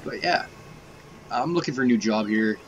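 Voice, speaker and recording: A young man talks through a game with a plugged nose and a slightly raspy voice from a cold. He rambles, swears casually and fills gaps with "like". Gameplay noise runs clearly behind him. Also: medium pitch, animated, moderate pace, highs cut off. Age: young adult. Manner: rambling, swears often, many fillers. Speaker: gaming youtuber.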